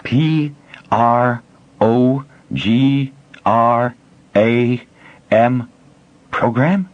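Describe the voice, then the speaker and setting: A man voicing an excitable young male apprentice. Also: extremely slow, no room sound, muffled recording. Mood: excited. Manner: excited, high.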